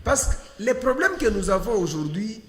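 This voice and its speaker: A man's voice: sits high, sing-song, moderate pace, a bit bright, a little hiss or hum. A man with a Congolese accent answering as a political panel guest, didactic and emphatic, posing rhetorical questions. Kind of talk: political debate interview. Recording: echoey hall-like room with long reverb.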